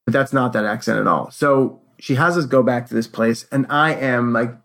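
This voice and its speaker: A man with a creaky, gravelly edge to a mid-pitched voice tells a personal story as host. He speaks casually, as in conversation, and acts out the quoted lines as he retells them. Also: moderate pace. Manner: animated retelling with acted-out quotes.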